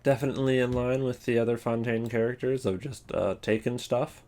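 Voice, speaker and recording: A man giving casual, opinionated video commentary on game voice lines, thinking aloud in halting, rambling sentences. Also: mid-range voice, fairly even intonation, mellow. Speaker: video host.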